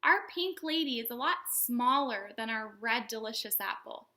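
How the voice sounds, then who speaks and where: A woman hosting a storytime for young children, teaching in simple words with an animated, sing-song manner and questions to her small viewers. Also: a little high, normal speed. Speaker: children's librarian, storytime host.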